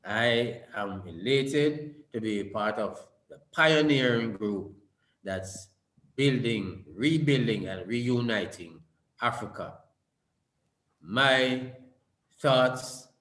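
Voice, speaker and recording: A man's voice: medium pitch, slow pace, fairly bright tone. A man giving an earnest summit speech over a video call, often repeating words as he builds his points. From Jamaica. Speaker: summit speaker. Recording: roomy echo.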